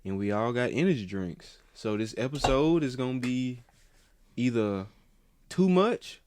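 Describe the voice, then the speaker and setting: A man's voice: mid-range voice, lively intonation, unhurried, darkish tone, slight background noise. A man in his twenties hosting a casual podcast chat, rambling and swearing freely with lots of fillers and restarts. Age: 20s. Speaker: podcast host.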